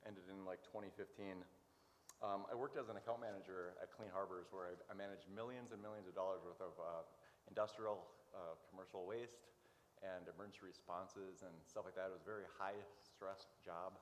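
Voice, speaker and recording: A man being interviewed as a guest, speaking informally in a halting, hesitant way with many pauses. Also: low-pitched, fairly even intonation. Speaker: interview guest, church worker. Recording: echoey hall, noticeable background noise.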